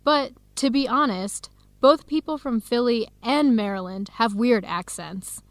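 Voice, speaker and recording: Young American woman researcher, upbeat, chatty, expressive, fairly high voice, dry studio sound, radio drama. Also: unhurried, dry recording. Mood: friendly, teasing. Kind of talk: scripted radio drama dialogue for English learners.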